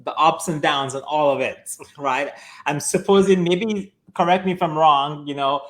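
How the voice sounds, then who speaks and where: Upbeat male physician host, higher-pitched, encouraging interviewer. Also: normal speed, rough recording. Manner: warm-spoken, enthusiastic, affirming interviewer. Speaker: physician host of a weekly live show. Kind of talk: casual live interview.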